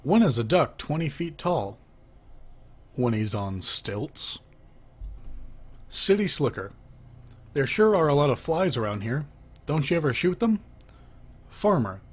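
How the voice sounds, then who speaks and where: A man's voice: a little high, sing-song, unhurried, pauses often, slightly rough. A man reading a children's joke book aloud, announcing who speaks before each line and lifting his voice for the questions. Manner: lifts his voice for the asking half of knock-knock jokes.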